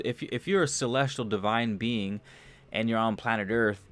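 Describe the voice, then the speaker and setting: A young man hosting a livestream, rambling casually about spiritual ideas, restarting phrases, swearing loosely and getting animated on big points. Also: mid-range voice. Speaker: podcast host.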